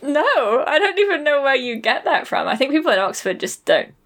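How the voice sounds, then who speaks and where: A woman's voice: high-pitched, big pitch swings, brisk, fairly bright tone. Chatty young woman, laughs a lot. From Wales. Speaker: podcast co-host. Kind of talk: casual chat.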